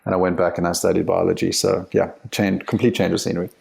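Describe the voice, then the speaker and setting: A man's voice: deep voice, flat delivery, quick pace, creaky, a little mellow. A South African man being interviewed as a guest, talking candidly and emphatically with easy laughs and repeated words as he thinks. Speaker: guest, company CEO and author. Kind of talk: casual interview chat.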